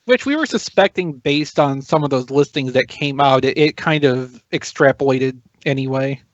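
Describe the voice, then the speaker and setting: A man on a podcast panel casually explaining things in a measured, qualifying way, recorded dry and slightly dull. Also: mid-range voice, dry recording. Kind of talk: casual hobbyist discussion.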